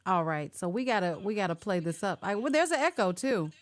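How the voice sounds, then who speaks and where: A woman hosting a casual online interview over a video call, chatty and animated as she works through connection glitches. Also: medium pitch, brisk. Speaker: host.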